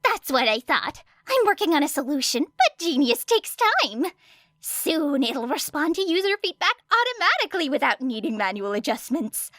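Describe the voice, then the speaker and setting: Brash young female inventor played by a woman, audiobook drama: eager, proud, bright and sharp, very animated delivery. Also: high-pitched, dry recording, studio quality, pitched above the performer's own voice. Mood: confident, smug. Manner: excitable, boastful, brisk. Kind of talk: audiobook character acting.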